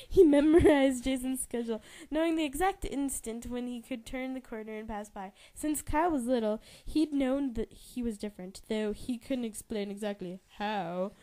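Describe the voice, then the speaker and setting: High-voiced young woman reading aloud. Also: moderate pace, mellow. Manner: giggly, stumbles over words and self-corrects. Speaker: amateur reader and narrator. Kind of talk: informal dramatic reading of a novel.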